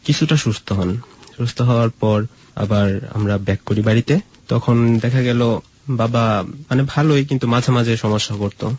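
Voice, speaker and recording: A man's voice: mid-range voice, normal speed, darkish tone, breathy edge, dull audio. A man from Mymensingh in Bangladesh, an interview guest telling personal stories in a conversational way. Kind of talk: personal storytelling in casual conversation.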